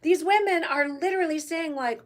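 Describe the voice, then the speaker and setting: A woman's voice: very high-pitched, normal speed. A woman from the United States hosting her channel, giving emphatic opinion commentary to camera and often checking in with tag questions. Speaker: channel host.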